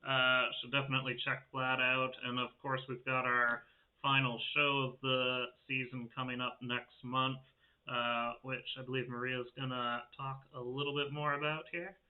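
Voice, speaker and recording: A man's voice: medium pitch, little pitch movement, normal speed. A man hosting informally in a casual, appreciative way over a video call. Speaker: show host, music technology facilitator. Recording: thin phone-like sound.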